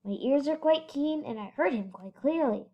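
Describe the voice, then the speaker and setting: A woman's voice: high-pitched, lively intonation, moderate pace, muffled recording. A woman voicing a kindly, earnest male elephant from a children's storybook, with emphatic delivery. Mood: earnest, concerned.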